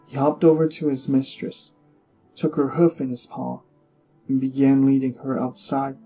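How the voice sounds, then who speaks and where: A man narrates a story as a scripted audiobook. His voice sits at a middle pitch, and he phrases things deliberately with expressive pauses. Faint music plays underneath. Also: rough recording.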